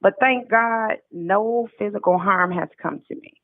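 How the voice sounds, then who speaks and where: A woman's voice: medium pitch, unhurried, dry recording. Female interview guest with an American accent, heard through a thin telephone-like connection, middle-aged, chatty and emphatic, dry sound. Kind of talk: casual conversational interview. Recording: tinny narrow phone-like line.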